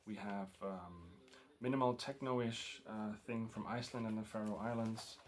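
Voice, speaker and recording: Man, Danish accent, lowish voice, rambling casual vlog, lots of fillers. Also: steady tone, normal speed. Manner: rambling, full of ums and uhs. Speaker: record collector vlogger. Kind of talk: casual record haul vlog.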